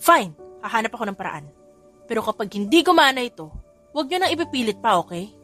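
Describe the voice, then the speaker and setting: A woman voicing a weary, widowed billionaire father who answers his children calmly and patiently. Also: on the high side, fast, faint music behind.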